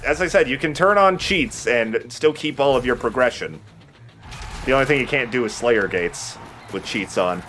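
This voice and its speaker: A man's voice: mid-range voice, expressive, normal speed, fairly bright tone, a little hiss or hum. A man giving casual, rambling and opinionated video game commentary as the host of a let's play.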